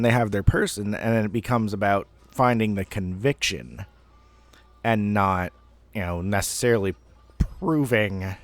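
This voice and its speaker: A man's voice: on the low side, no room sound. A man in his thirties telling a personal story casually, in a rambling, self-deprecating way with some mild swearing. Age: 30s. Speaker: online storyteller.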